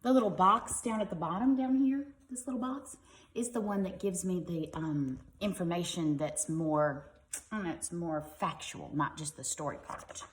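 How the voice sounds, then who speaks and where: A woman's voice: medium pitch, echoey room. A woman reading a picture book aloud to young children like a teacher, expressively and with simple explanatory asides.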